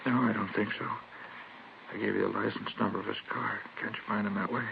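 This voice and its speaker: Shaken injured teacher, American, thirties, gravelly lowish voice, halting, earnest, vintage radio drama, thin crackly sound. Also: male voice, flat delivery. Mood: bitter, grieving. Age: 30s. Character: wounded, shaken male schoolteacher, thirties, robbery victim. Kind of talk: 1950s radio drama acting. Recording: tinny, noisy vintage radio recording.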